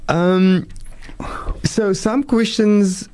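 A man's voice: high-pitched, fairly even intonation, drawn-out words, darkish tone, clean tone. Casual male radio call-in host. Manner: casual, friendly, reads out listener questions.

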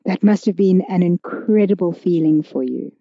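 A woman hosting a conversational podcast interview, asking questions in a measured, deliberate way. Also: lowish voice, no room sound. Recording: dull, lo-fi audio.

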